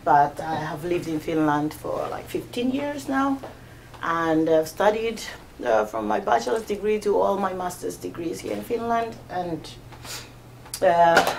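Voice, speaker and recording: A woman's voice: fairly low pitch, gravelly, darkish tone, slight background noise, poor audio. A woman in her thirties from Tanzania, giving an informal talk to a small audience, hesitant with many fillers and restarts. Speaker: guest speaker.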